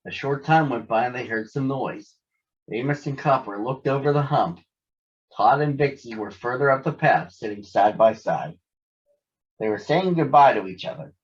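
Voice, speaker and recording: A man's voice: mid-range voice, muffled recording. A man narrating a children's story from a script as a storybook reader.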